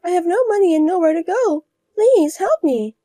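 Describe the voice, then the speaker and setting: A woman's voice: extremely high pitch, animated, moderate pace, warm tone, no echo, clean studio sound, pitched above the performer's own voice. A woman voicing a pushy, scheming young woman who whines and pleads. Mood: pleading. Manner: whiny and pleading. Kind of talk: storybook voice acting.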